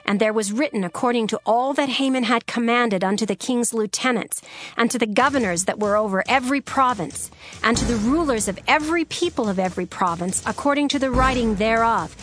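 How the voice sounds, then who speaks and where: A woman narrating a dramatized scripture reading in a measured, solemn way. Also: fairly high pitch, a bit bright.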